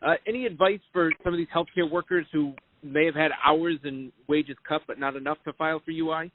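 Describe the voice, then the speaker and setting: Over a tinny, slightly noisy phone connection, a man with a somewhat high voice puts questions as a reporter on a press call. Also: fairly even intonation, brisk. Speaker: news reporter. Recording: tinny phone line, noise, clicks.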